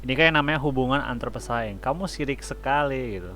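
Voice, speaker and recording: A man's voice: mid-range voice, fast, fairly bright tone, a little hiss or hum. A man with an Indonesian accent giving casual, chatty let's-play commentary as a gaming host. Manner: slangy, chatty. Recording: game music behind the voice.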